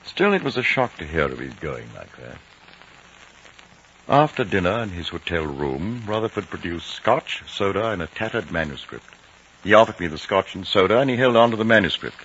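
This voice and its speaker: An actor playing an educated, reflective English man telling a story in a measured literary manner, in an old radio drama. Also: low-pitched, big pitch swings, fairly bright tone, full character voice. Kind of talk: 1950s radio drama narration. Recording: dull, noisy vintage radio recording.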